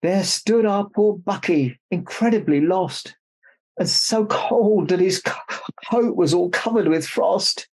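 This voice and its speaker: A man's voice: sits high, slow, a little mellow, voice pushed up, exaggerated voice. A man playing Santa Claus, a jolly old man reading a children's storybook aloud with jokey, mock-indignant asides. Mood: storytelling.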